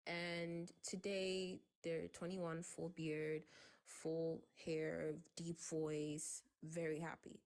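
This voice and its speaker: A woman in her twenties in a live debate, explaining her view in a measured, somewhat hesitant way. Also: low voice, completely flat delivery, a little mellow. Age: 20s. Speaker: debate participant.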